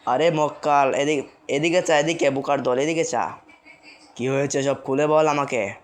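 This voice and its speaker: A performer plays a bossy village exorcist man from a cartoon. He speaks in commanding, scolding tones at a middling pitch, like someone used to ordering others about. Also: normal speed, close to an everyday voice. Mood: impatient, scolding. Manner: commanding, insulting, chanting. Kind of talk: amateur cartoon voice acting.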